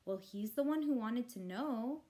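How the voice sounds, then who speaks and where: A woman's voice: on the high side, expressive, unhurried, dark tone. A woman voicing an exasperated, sarcastic school-age boy, a grumbling older brother in a storybook reading. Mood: argumentative.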